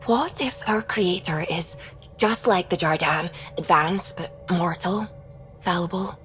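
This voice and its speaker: An actress playing an earnest, thoughtful scientist in a video game, speaking in a reflective, sincere way. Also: female voice, lowish voice, slow, a bit bright, soft background music. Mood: pensive, sincere. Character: earnest, thoughtful female scientist of deep faith.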